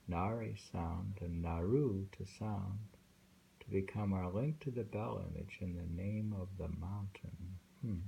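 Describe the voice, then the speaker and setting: A man reading poems aloud and commenting casually in a slow, musing way, with thoughtful hums. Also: low voice, gravelly, dark tone, slight background noise, low-quality recording. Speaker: reader of translated poetry.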